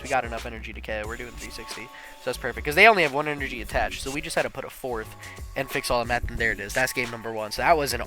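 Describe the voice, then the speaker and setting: A young man with a slightly sniffly nose from allergies, casually thinking out loud and commentating his own online card game, with slang and sudden excited laughs. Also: mid-range voice.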